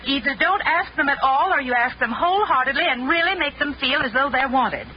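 An exasperated mother in a vintage radio comedy, scolding earnestly in a high, very animated voice. It sounds dull and slightly noisy, like an old broadcast. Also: a little fast. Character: firm, exasperated mother given to gentle lecturing. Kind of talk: 1940s radio comedy acting.

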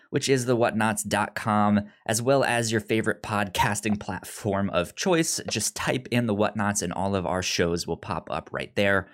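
A man hosting a podcast in a casual, conversational way, often stammering and repeating syllables as he goes. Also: medium pitch. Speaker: podcast host.

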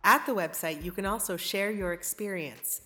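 A woman's voice: medium pitch, moderate pace, bright, forceful tone, soft background music. A woman presenting a theatre podcast's credits, reading scripted thanks and announcements.

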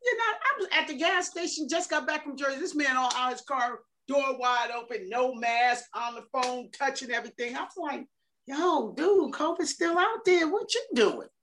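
A woman in her fifties with a fairly high voice that swings all over as she tells a story. She talks in a slangy, animated way. A faint hiss sits under the recording. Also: moderate pace. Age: 50s. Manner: animated, slangy, acts out quotes. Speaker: podcast co-host. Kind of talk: casual chat, storytelling.

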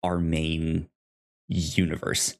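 A man's voice: extremely low pitch, monotone, very slow, vocal fry. A man co-hosting a podcast, casually recapping a show and often rephrasing himself as he explains. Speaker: podcast co-host.

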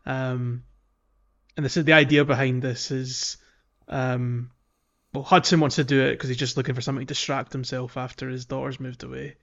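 A man's voice: medium pitch, level tone, moderate pace, gravelly, a bit bright. Man chatting casually, slightly dull audio. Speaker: podcast co-host. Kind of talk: casual chat about a video game.

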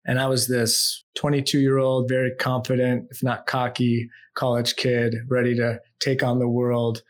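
A man from the United States, a podcast guest, telling his life story in a relaxed, reflective way and dropping low and soft as he muses. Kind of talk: storytelling in an interview.

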